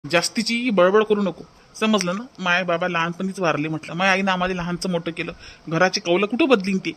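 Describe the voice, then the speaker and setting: Casting: high-voiced young village husband acted by a man, Varhadi Marathi accent, irate and scolding, for a cartoon comedy. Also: fairly even intonation, fairly quick, a bit bright. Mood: angry, indignant. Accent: Varhadi Marathi from Maharashtra. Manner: scolding, repeats his questions. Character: angry young husband defending his mother and home. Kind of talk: hobby cartoon comedy dialogue.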